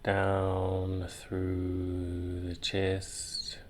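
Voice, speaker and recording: A man leading a guided visualization in a soothing, meditative way, leaving long pauses between short phrases. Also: deep voice, monotone, slight background noise.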